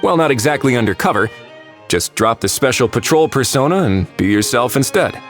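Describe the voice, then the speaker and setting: An actor playing a calm, observant male strategist, measured and quietly wry, in video game dialogue. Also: medium pitch, lightly acted. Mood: calm planning. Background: music.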